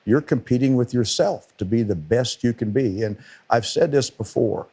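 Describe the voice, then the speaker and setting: A man's voice: mid-range voice, moderate pace, mellow, breathy edge. A middle-aged man with a powerful voice, a football defensive coordinator talking casually on a podcast and stressing points by repeating words.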